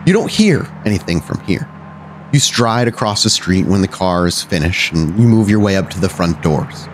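A man's voice: deep voice, expressive, normal speed, a little hiss or hum. A man narrating a tabletop roleplaying game as the game master. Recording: music behind the voice.

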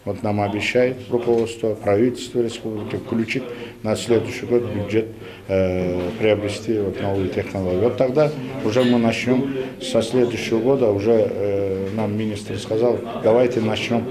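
Low-voiced older man in a busy room. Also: steady tone. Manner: halting, loosely phrased. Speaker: government film department director, interviewee. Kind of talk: press interview. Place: indoor room with press. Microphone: handheld TV microphone. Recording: busy room with crowd behind.

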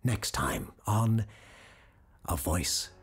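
An actor playing a clinical, self-assured radio host doctor who deals with the supernatural, in an audio drama. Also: male voice, fairly low pitch, animated, extremely slow, heavily acted. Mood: firm. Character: cold calculating male radio host doctor, supernatural expert.

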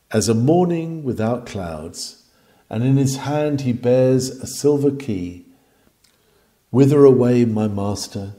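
A man's voice: mid-range voice, dark tone. A man reading an old sermon aloud in an earnest, declamatory manner with formal, old-fashioned phrasing. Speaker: reader of a historical sermon.

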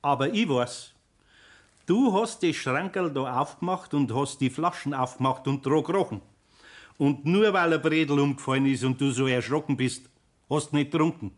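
An older master craftsman, acted by a man in an old radio play. His Bavarian voice sits a little high. He is folksy and chiding, gruff but good-natured. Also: normal speed, natural voice. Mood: stern, teasing. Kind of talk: 1960s German radio play acting.

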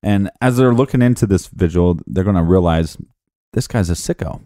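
A man co-hosting a true crime podcast, talking bluntly with gruff humor. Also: low-pitched, moderate pace, mellow, no echo, clean studio sound. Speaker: podcast co-host. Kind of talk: casual true crime chat.

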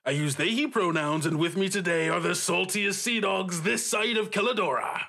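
A man's voice: high voice, moderate pace, vocal fry, pushed and bright. A man hosting as game master, joking theatrically and often laughing through his words in playful podcast banter.

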